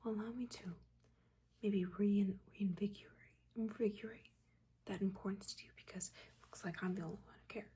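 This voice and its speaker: A woman's voice: mid-range voice, steady tone, dark tone. Whispering woman as a stern witch mentor. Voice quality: breathy, mostly whispered. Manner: scolding, halting, dryly exasperated. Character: stern, disappointed witch mentor, nagging but secretly caring. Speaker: ASMR roleplay performer. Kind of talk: soft-spoken, whispered ASMR roleplay. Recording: audible background ambience noise.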